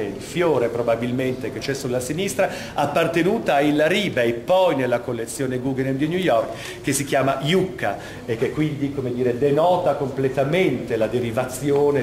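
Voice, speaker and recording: Male curator, middle-aged. Emphatic guided-tour delivery in a reverberant, noisy gallery. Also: mid-range voice. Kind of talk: guided art talk to visitors. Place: large vaulted museum gallery, indoors. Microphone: camera microphone a few steps away. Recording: echoey gallery hall, clear background noise.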